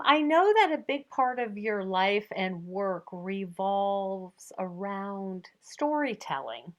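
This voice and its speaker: Affirming woman interviewer. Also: on the high side. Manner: affirming, many soft "mm-hmm"s. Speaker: interview host. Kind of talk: casual interview conversation.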